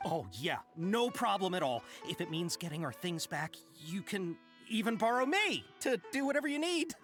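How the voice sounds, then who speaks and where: An obliging caravan merchant from a video game, speaks with earnest gratitude. The voice moves around in pitch with eager energy. Music is clearly heard behind it. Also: male voice, big pitch swings, moderate pace, fairly bright tone. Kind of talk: English game voice acting.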